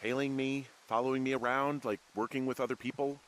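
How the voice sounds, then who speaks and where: A man's voice: mid-range voice, little pitch movement, a little fast, slight background noise, lightly acted. An actor playing a sarcastic, suspicious everyman fire lookout in a video game, with an American accent and a plainspoken, naturalistic delivery. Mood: agitated, suspicious. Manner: sardonic, plainspoken.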